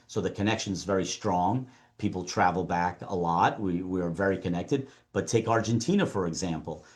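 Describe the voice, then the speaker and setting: A man addresses a conference panel in a fairly low voice. He speaks in a measured, deliberate way and often doubles a word as he gets a phrase going. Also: a little mellow. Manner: measured, repeats words when starting phrases. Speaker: panelist and council member.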